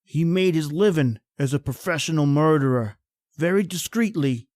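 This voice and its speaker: An actor playing a wry, world-weary painter with a morbid wit, in a theatrical old-time radio horror style. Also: male voice, on the high side, lively intonation, moderate pace, a little mellow, no room sound, studio quality, close to an everyday voice. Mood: ominous.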